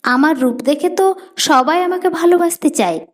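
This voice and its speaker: A woman's voice: high voice, normal speed, close to an everyday voice. A woman voicing a vain, scornful peacock in a storybook fable, with a Bengali accent. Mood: proud, questioning.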